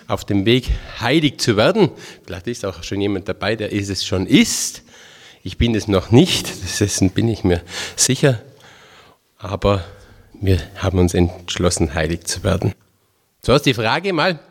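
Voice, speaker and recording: A man in his fifties from Tyrol with a Tyrolean accent, a religious brother giving a chatty, homely spiritual talk to a group. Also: lowish voice. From Tyrol, Austria. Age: 50s. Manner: homely, chatty, self-deprecating with dialect phrases.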